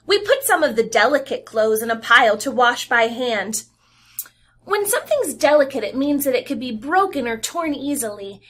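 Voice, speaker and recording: A young adult woman reading a picture book aloud to young children as their teacher, expressive and animated, with sound effects and pauses to explain. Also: high voice.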